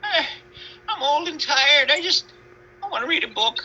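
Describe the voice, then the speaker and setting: Weary old mother pig played by a man, bright and muffled. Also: very high voice, lively intonation, slight background noise, exaggerated voice. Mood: weary, complaining. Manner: weary, complaining, halting.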